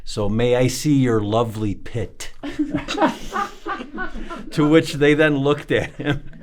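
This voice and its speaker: A man's voice: medium pitch, takes it slowly, heavy background noise. A man telling a funny family anecdote in an interview, with many fillers. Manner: amused storytelling with fillers. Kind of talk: casual anecdote.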